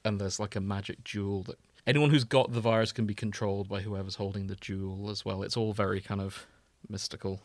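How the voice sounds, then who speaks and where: A man's voice: on the low side, steady tone, fairly quick, hardly any pauses, no echo. A British man explaining things in a casual, wry, informal podcast chat, sometimes sweary. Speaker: podcast host explaining a video game.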